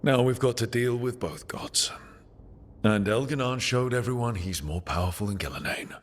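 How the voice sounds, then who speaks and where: An actor playing an earnest, weary but determined team leader in a video game, plain-spoken and serious. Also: male voice, mid-range voice, moderate pace, close to an everyday voice. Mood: worried.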